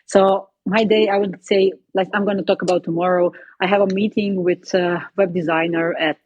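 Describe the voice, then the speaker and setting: Young woman, Serbian accent, casual interview guest; husky tone, dry slightly dull sound. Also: mid-range voice, steady tone, moderate pace, a little mellow, no echo. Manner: chatty, frequent "you know" and "like" fillers. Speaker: guest, footballer and coffee entrepreneur.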